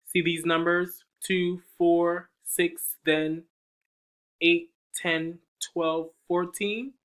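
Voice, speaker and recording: A man's voice: fairly high pitch, flat delivery, fairly bright tone, clear, resonant tone. A man reading a picture book aloud to young children, coaxing them with questions and counting along. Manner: slow, sing-song, coaxing questions to young listeners.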